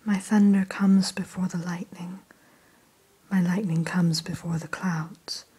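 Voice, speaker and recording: Whispery woman playing a shop keeper for ASMR, slow, very breathy, low-ish voice. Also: steady tone. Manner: mostly whispered, gentle and courteous. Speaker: dragon hatchery keeper in roleplay. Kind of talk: ASMR roleplay.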